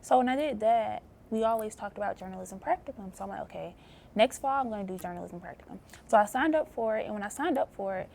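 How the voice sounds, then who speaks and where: A young woman from Georgia, a journalism student, answering earnestly and softly with many fillers. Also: medium pitch, animated, fast talker, gravelly, slight background noise. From Albany, Georgia. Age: young adult. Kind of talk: casual interview.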